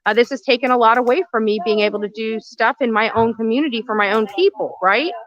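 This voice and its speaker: A woman with a slightly high, sharp, bright voice speaks earnestly about her own good deeds. She leans on fillers as she goes. The clip sounds dull and lo-fi. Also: fast talker, no echo. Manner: earnest, self-praising, filler-heavy. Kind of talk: self-promoting interview clip.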